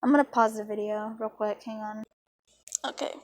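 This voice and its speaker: A woman's voice: mid-range voice, fairly even intonation, normal speed, a little mellow. A woman reading a story aloud in an amateur way, breaking off for blunt, informal asides. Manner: informal, blunt, sweary commentary. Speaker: fan fiction reader.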